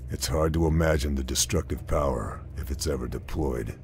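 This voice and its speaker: Grim, extremely deep-voiced soldier. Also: male voice, a little mellow, slight background noise. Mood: grim, resolute. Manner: terse, serious, measured. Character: grim, stoic male wartime sniper. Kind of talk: scripted game cutscene narration.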